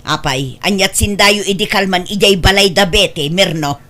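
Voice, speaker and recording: A woman's voice: medium pitch, normal speed, a bit bright, lightly acted. A woman with an Ilocano accent playing a suspicious, quarrelsome village mother who scolds and accuses in a comedy drama. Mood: suspicious, grumbling. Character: suspicious, quarrelsome older village mother guarding her garden. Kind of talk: Ilocano comedy radio-style drama acting.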